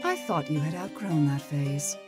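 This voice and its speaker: A woman voicing an inhuman creature posing as a mother in a visual novel, sugary, condescending and menacing, with theatrical, drawn-out endearments. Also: deep voice, over music, voice pushed down, natural voice. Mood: condescending, sweetly menacing. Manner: theatrical, drawn-out endearments, mock-hurt sighs.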